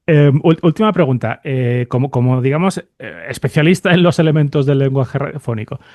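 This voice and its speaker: A man's voice: medium pitch, brisk, darkish tone, no room sound. A man with a Spain Spanish accent hosting a podcast interview, thinking aloud in a casual, reflective way and often checking for agreement. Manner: thinks aloud, seeks agreement with tag questions. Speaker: podcast host interviewing a guest.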